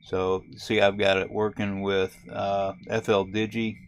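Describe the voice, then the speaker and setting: A man with a low voice that barely rises or falls explains a radio logging setup step by step. He talks casually and haltingly, pausing on fillers as he clicks through menus. A faint hiss sits under his voice. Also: flat delivery. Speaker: ham radio video host. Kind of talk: casual screen-recorded tutorial. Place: camper.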